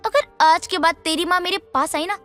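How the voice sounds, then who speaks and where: A woman's voice: extremely high pitch, a little fast, fairly bright tone, faint music behind. A woman voicing a haughty young wife in a Hindi story cartoon, snappish, bossy and contemptuous. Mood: scornful, angry. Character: haughty, scornful young wife berating her husband. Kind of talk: Hindi animated story dialogue.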